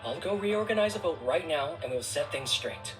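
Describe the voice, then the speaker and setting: A man's voice: on the high side, expressive, moderate pace, over music, lightly acted, poor audio. A man playing an earnest, dutiful event official in a video game, speaking formally and deferentially. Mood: earnest, regretful.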